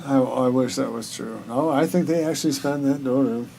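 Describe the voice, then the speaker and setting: A man's voice: mid-range voice, normal speed, warm tone, rough recording. A man in casual co-host conversation, speaking bluntly and slangily with some swearing. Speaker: podcast co-host. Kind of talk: casual chat. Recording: clear background noise.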